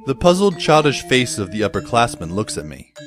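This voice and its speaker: A man's voice: medium pitch, very animated, brisk, over music, close to an everyday voice. A man playing a wry, long-suffering teenage schoolboy who narrates an audio drama in a dry, literary, sardonic way. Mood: sceptical, probing.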